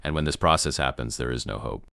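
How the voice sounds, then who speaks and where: A man playing a grave emergency news broadcaster in an amateur apocalypse broadcast, gravelly, solemn and halting in his delivery. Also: rumbling low, bright, forceful tone, no echo, clean studio sound, heavily acted. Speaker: news anchor.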